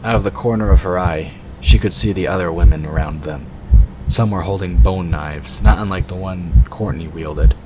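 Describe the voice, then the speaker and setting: A man's voice: low-pitched, moderate pace, over loud music, poor audio. A man reading scripted narration for a fantasy audio drama. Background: forest ambience sound effects.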